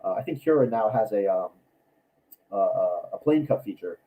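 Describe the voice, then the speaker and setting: A man with a raspy voice from allergies, chatting casually on a livestream about his builds, rambling with many ums and restarts. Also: lowish voice, animated, normal speed, phone-quality sound. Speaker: cosplay maker and YouTuber.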